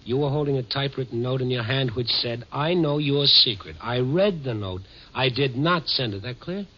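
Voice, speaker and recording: An American actor playing a weary, tough newspaper reporter with a wry, clipped manner in a 1950s radio drama. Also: male voice, medium pitch, normal speed, breathy edge, lightly acted. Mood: exasperated. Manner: wry, clipped, hard-boiled. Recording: dull, noisy old radio recording.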